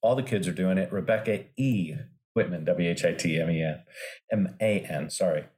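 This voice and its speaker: A man's voice: low voice, normal speed, darkish tone. A man hosting a podcast interview, playful and jokey, with enthusiastic praise for his guest. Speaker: podcast host.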